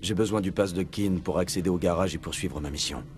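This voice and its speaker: An actor playing a steady, direct military commander, the hero of a video game, with a composed manner. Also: male voice, low-pitched, brisk, over music, close to an everyday voice. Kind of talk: video game dub dialogue.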